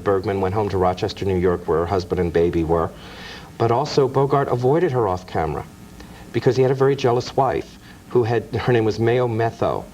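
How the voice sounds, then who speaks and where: A man's voice: on the low side, a little mellow, slight background noise, rough recording. A man speaking as an author guest, telling anecdotes in an explanatory, storytelling way. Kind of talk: anecdotal film-history interview.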